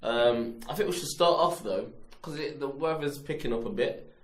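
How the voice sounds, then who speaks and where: A man with a slightly raspy voice, hosting a casual chat with friends and laughing as he teases them. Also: mid-range voice, animated, moderate pace. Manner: laughing, teasing, joking. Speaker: podcast host. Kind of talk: casual group banter. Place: room with several friends. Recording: echoey room with group chatter.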